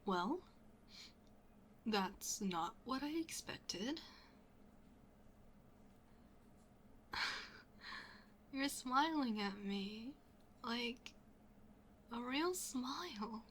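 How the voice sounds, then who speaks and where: Girl acting out a lovestruck best friend, asmr roleplay, slow soft half whisper, shy n teasing, some background noise. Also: a little high, lively intonation, exaggerated voice. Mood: playful, happy. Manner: slow, hesitant, intimate. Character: smitten young best friend, shyly confessing, playfully teasing.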